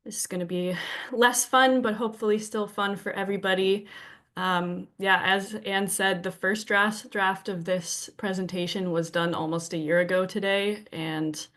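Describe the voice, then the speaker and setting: A woman's voice: mid-range voice, fairly even intonation, moderate pace, a bit bright. Young woman from victoria bc, kinda sick and sniffly, friendly remote talk, lots of ums. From Victoria, British Columbia. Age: young adult. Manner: friendly, many ums and restarts. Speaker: guest presenter. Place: home, presenting remotely.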